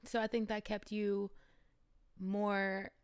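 A woman's voice: medium pitch, level tone, clear, resonant tone. Agreeable woman in her early thirties. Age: 30s. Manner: warm, agreeable, quick short replies. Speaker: podcast co-host. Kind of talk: casual chat.

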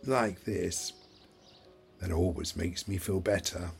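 An actor playing a forgetful, childlike, playful elderly husband in an audio play, halting and deliberate in his speech. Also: low-pitched, fairly even intonation, gravelly, soft background music, heavily acted. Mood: careful, self-soothing. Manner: halting, deliberate, spelling words out slowly.

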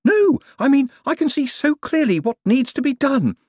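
A man voicing an excitable male craftsman who talks eagerly and breathlessly. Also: extremely high pitch, normal speed, no echo, clean studio sound, pitched above the performer's own voice. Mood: exhilarated.

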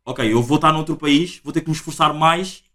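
A man's voice: on the high side, bright, forceful tone. A young man with a European Portuguese accent hosting a casual podcast chat, full of filler words. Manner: rapid, uses filler words a lot. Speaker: podcast host.

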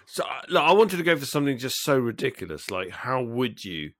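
A man's voice: medium pitch, very animated, a little fast, fairly bright tone, no room sound, studio quality. A British man bantering casually as a podcast co-host, jokey and colloquial with playful exaggeration.

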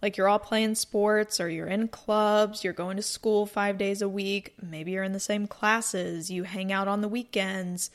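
A woman's voice: medium pitch, steady tone, unhurried, hardly any pauses. A woman in her twenties hosting a casual solo podcast, chatting in a rambling, upbeat way from a cozy room. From North Carolina, US. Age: 20s. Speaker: podcast host.

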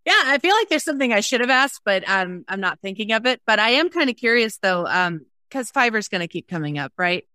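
Female interviewer, casual and conversational. Rapid delivery, mid pitch, very dry close recording. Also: very animated, bright, forceful tone, dry recording. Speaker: podcast host.

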